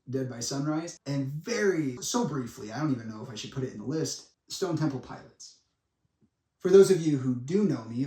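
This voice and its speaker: A man in his twenties giving a monologue to viewers in a dramatic, deliberate way, with pauses and blunt asides. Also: mid-range voice, dark tone. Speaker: speaker making a personal public statement. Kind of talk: semi-prepared monologue addressed to viewers. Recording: echoey room, distant microphone.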